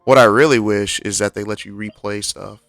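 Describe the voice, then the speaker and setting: A man's voice: on the low side, fairly even intonation, brisk, fairly bright tone, no room sound, soft background music. A man streaming a video game, reacting casually in informal, slangy speech.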